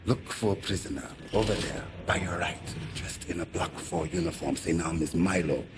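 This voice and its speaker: A man's voice: sits low, moderate pace, natural voice. An actor playing a kind, weary male camp inmate who speaks broken English. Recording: game audio with clear background noise.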